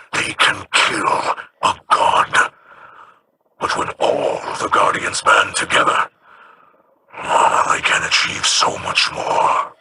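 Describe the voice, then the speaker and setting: An actor playing a gentle, wise old male alien leader in a game, speaking with a gravelly, slow and solemn delivery. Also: on the low side, noisy background. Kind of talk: game character dialogue.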